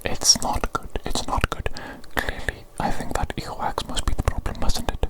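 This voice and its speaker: A woman whispering softly into a close microphone, repeating each phrase and gently teasing as an ASMR doctor roleplay. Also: moderate pace. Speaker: ASMR creator. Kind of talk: ASMR roleplay of a medical exam.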